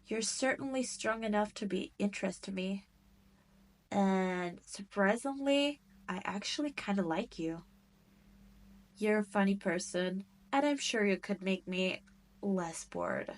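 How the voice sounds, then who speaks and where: Slow-talking haughty demon queen acted by a woman. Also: medium pitch, lively intonation, close to an everyday voice. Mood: amused, intrigued. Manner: slow, teasing, condescending drawl with theatrical emphasis. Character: cruel demon queen, haughty, teasing, possessive, amused by humans. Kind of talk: scripted roleplay audio drama.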